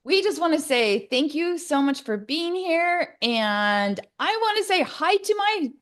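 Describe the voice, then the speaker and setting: Higher-pitched woman hosting a casual livestream. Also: sing-song, measured pace, fairly bright tone. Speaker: co-host.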